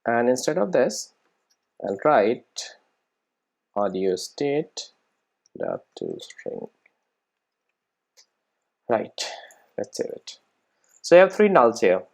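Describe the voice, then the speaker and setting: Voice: medium pitch, wildly swooping pitch, slow, vocal fry, long pauses, a little mellow. Informal coding tutorial instructor, explanatory. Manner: explanatory, frequently checking understanding with tag questions.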